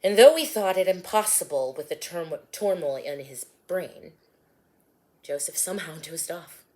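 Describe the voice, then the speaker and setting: Woman storybook narrator, lowish voice, very lively pitch, sing-song rhymed reading. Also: unhurried, poor audio. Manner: expressive, sing-song rhyming delivery. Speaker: author and storybook reader.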